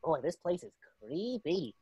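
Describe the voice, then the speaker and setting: Woman as a wildly swooping, excitable cartoon sponge. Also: lowish voice, a little slow, breathy edge, dry recording, heavily acted. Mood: uneasy, muttering. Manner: theatrical, exclaiming, talking to self. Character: excitable, naive young male cartoon sea sponge. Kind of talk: amateur table read of a cartoon script.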